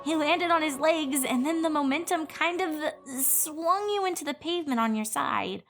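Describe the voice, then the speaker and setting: Woman voicing a high, bookish young pony. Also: normal speed, a bit bright, faint music behind. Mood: explaining. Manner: hesitant, explanatory, tactful. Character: young bookish pony princess, earnest and awkward. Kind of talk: cartoon fan fiction character reading.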